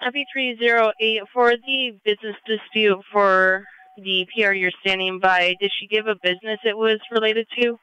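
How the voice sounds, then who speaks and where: A woman's voice: medium pitch, fairly even intonation, normal speed, pushed and bright, smooth, highs cut off. A woman working as a police radio dispatcher, speaking in clipped, procedural phrases over a scanner. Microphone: radio dispatch channel.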